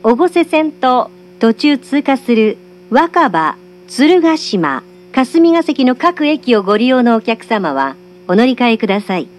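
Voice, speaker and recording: Woman, automated train announcer, polite, formal, highish lively pitch, humming carriage recording. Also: unhurried, a little hiss or hum. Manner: formal, polite, carefully enunciated.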